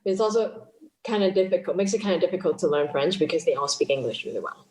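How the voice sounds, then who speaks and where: A Cantonese-speaking woman from chats casually in English, her voice sitting a bit low. She laughs often and pokes fun at herself. The room around her rings with echo. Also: fast, a little mellow. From Hong Kong. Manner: chatty, laughs often, self-deprecating. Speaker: guest musician. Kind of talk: casual interview chat.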